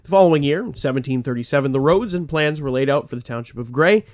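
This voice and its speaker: A man's voice: fairly high pitch, lively intonation, fast talker, a little mellow. A man hosting a true crime podcast, a little congested and phlegmy, talking in an earnest way with rambling asides. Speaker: podcast host.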